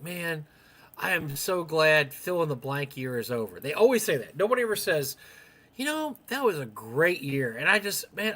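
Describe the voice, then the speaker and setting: An older man with a young-sounding voice co-hosting a live stream, rambling through casual anecdotes and acting out bits of dialogue. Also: fairly high pitch. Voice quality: young-sounding for his age. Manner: chatty, animated retelling with quoted dialogue.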